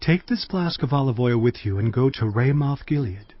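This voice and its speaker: A man's voice: medium pitch, animated, moderate pace, dry recording, lightly acted. A man voicing an old prophet who gives urgent, commanding orders. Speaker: Elisha. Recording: dull lo-fi audio.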